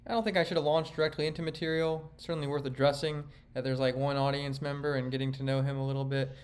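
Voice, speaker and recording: A man's voice: mid-range voice, flat delivery, fast. Male vlogger and comedian, mid-range voice, reflective, self-critical, rambly commentary with restarts. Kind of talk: reflective commentary reviewing his own set.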